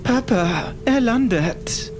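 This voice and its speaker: A man's voice: extremely high pitch, animated, slow pace, darkish tone, soft background music, pitched above the performer's own voice, full character voice. A man voicing a worried young son in a horror audiobook, urgent and questioning. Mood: anxious. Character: worried young son, narrator of his own ordeal.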